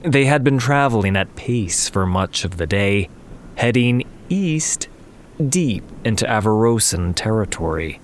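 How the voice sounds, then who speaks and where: A man narrating a scripted fantasy story in a measured, solemn manner. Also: lowish voice, lively intonation, slight background noise. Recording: faint ambience behind the voice.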